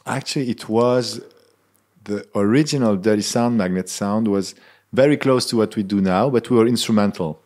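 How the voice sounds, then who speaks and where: A man's voice: moderate pace, darkish tone. A man in his thirties from Switzerland, a band member talking casually in an interview, earnest and often restarting his sentences. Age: 30s. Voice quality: low-pitched voice. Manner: earnest, searching for words, frequent restarts.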